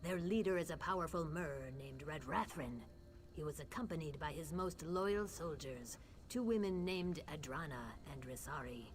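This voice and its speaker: A low-pitched mystic woman from a fantasy game. She is grave and reverent, with formal, measured delivery. There is some noise behind her. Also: lively intonation. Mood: calm, instructive. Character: grave mystic woman, spirit-speaker, reverent toward the dead. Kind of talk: scripted fantasy game dialogue.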